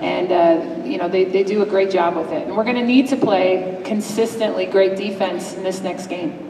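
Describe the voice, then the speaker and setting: A woman in her forties, a head coach, answering press questions in a measured, thoughtful way with frequent pauses to think. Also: medium pitch, steady tone. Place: large press room with a table. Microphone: table mic from a distance. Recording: echoey hall, distant mic, room noise.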